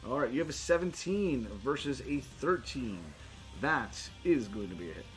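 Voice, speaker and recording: A man's voice: mid-range voice, big pitch swings, moderate pace, low-quality recording. A man acting as game master, casually narrating tabletop fight scenes in informal, sweary language. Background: guitar music.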